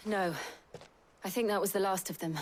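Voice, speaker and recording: A woman playing a composed, gentle lady warrior and loyal companion in a game drama, calm and soft-spoken. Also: medium pitch, normal speed, a little hiss or hum, lightly acted. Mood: resolute. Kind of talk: video game drama acting.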